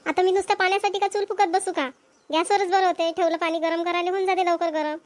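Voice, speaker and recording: A woman's voice: very high-pitched, fairly even intonation, fast, no echo. A woman playing a practical, nagging village wife and mother in a cartoon comedy, with a Vidarbha Marathi accent. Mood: explaining.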